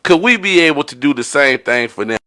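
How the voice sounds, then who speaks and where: A man's voice: a little high, animated, brisk, bright, forceful tone. A man hosting a casual group podcast, talking in slang and prompting and echoing the others. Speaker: podcast host.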